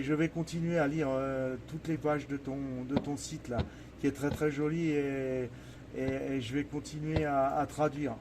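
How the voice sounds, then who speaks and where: A man chatting casually as an amateur radio operator, often repeating words and phrases, recorded in a noisy indoor radio shack. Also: a little high. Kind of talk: casual ham radio conversation.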